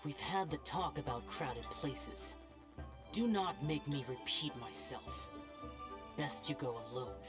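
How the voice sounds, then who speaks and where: A woman's voice: low-pitched, expressive. A woman playing an aloof, world-weary young male guardian in a video game cutscene, speaking curtly and formally in a solemn tone. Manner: curt, solemn, formal, deliberate. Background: background music.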